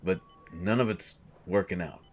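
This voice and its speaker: Man doing a gruff coach turning into a monster, halting speech, soft music underneath. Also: low voice. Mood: hungry, troubled. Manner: halting, hesitant, repeating himself. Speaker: audio drama voice actor. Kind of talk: audio roleplay monologue.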